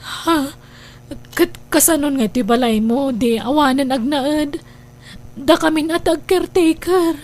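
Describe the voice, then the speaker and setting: A woman's voice: sits high, measured pace, a little mellow. A woman with an Ilocano accent playing a troubled, pleading elder sister and mother in a radio drama, with music behind her. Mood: worried.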